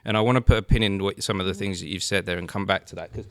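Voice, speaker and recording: A man in his thirties hosting a podcast, encouraging his guest with short affirmations and gentle questions. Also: deep voice, fairly even intonation, very fast, a little hiss or hum. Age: 30s. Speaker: podcast host. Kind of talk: casual interview chat.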